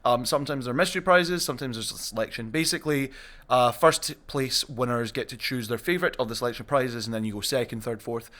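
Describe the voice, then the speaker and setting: A man's voice: mid-range voice, quick pace, a bit bright. A man hosting a casual group podcast chat and reading out quiz questions as quizmaster.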